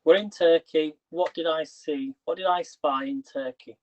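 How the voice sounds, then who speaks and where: A slightly high-voiced man chats casually to viewers while tidying his kitchen, his voice wearing thin. He rambles and restarts his questions. The sound is dull and lo-fi, like livestream audio. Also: moderate pace, darkish tone. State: losing his voice. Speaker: livestream host. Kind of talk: casual live-stream chat.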